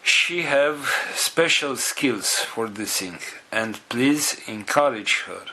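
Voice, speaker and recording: A man leading a group, making organizational announcements in halting, non-native English broken into short phrases with pauses. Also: medium pitch, lively intonation, bright, forceful tone, husky, low-quality recording.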